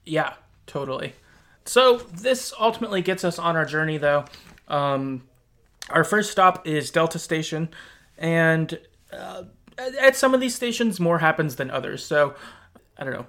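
A man with a somewhat high voice and plenty of vocal fry hosts a casual chat. He recaps a video game story informally, like someone telling it to friends. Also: lively intonation, measured pace, bright, forceful tone. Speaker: podcast host.

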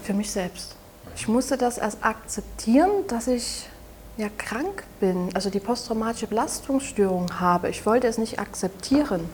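A young woman in her late twenties speaks with a clear Saxon German accent. Her voice sits a little high, and she talks haltingly and softly, often restarting her sentences. A faint noise runs underneath the recording. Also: lively intonation. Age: 20s. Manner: halting, soft-spoken, with frequent restarts. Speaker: interview guest. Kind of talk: personal testimony in an interview.

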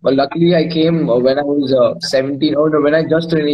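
A young man from Dubai in casual chat on a video call, relaxed and rambling with lots of slang. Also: on the high side, fast talker, lo-fi sound. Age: young adult.

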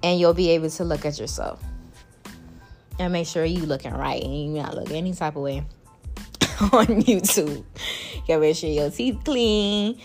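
A young woman with a Caribbean accent speaks in a fairly low, soothing voice. She chats casually and playfully, laughing often and leaping up in pitch for emphasis. Soft music plays faintly behind her. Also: moderate pace, long pauses. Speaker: podcast host. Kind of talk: casual advice chat to listeners.

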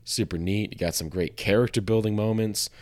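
Man, hoarse, very low pitch, podcast host, casual rambling, self-deprecating. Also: fairly even intonation, a little fast, a little mellow. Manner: self-deprecating, joking, many fillers. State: hoarse from a sore throat.

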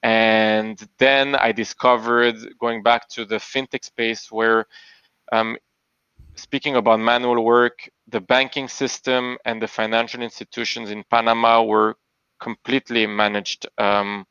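A man's voice: mid-range voice, level tone, measured pace, pushed and bright, smooth, no echo, lo-fi sound. A man from Belgium, likely in his thirties, answering interview questions in a thoughtful way with many fillers and self-corrections. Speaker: podcast guest, tech investor.

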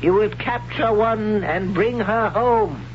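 A fervent old high priest hands down commands in a slow, solemn voice. The old radio broadcast sounds dull and lo-fi, with a faint hiss under it. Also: male voice. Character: stern elderly male high priest, zealous. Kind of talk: 1970s radio drama acting.

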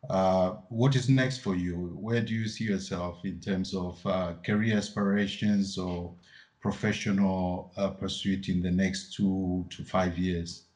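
A man's voice: low-pitched, steady tone, measured pace, darkish tone. A man from Tanzania hosting a podcast interview, asking questions hesitantly with many filler sounds. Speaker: podcast host. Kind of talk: interview conversation.

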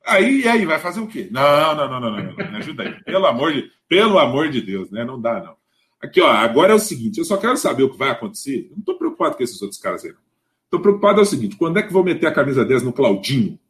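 A man with a Brazilian Portuguese accent hosting a casual football live stream, animated and often repeating words as he restarts. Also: medium pitch, racing. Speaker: host.

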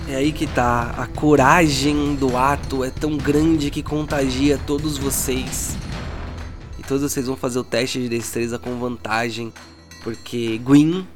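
A man with a Brazilian accent working as game master, narrating a tabletop role-playing story in a dramatic, deliberate way with pauses. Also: medium pitch, fairly even intonation. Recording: music bed behind the voice. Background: background music.

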